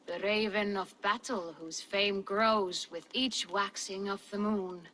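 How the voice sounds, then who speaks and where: A solemn female seer speaks in slow, riddling prophecy. Her tone is bright and bare, each phrase weighed carefully. Faint ambient noise sits under the game audio. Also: medium pitch, fairly even intonation, rough recording. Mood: cryptic. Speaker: volva. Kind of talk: video game cutscene acting.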